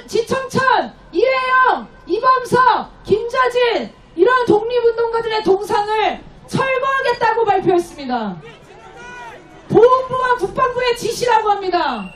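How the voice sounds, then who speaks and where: A woman leading a protest rally, giving an impassioned, exhorting speech and shouting out chants. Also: very high-pitched. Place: outdoor street rally in a city. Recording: echoey, distant outdoor loudspeaker, faint crowd noise. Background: crowd and street noise.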